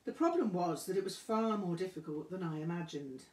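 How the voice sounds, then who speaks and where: A woman reading a memoir aloud as scripted narration, with measured, deliberate phrasing. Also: on the low side, expressive, mellow. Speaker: audiobook reader. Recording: roomy, echoing room sound.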